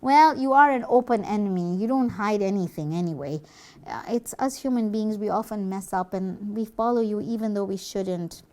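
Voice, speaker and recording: A woman presenting a puppet show, teaching religion to children conversationally in an explanatory, gently admonishing manner. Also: medium pitch, moderate pace.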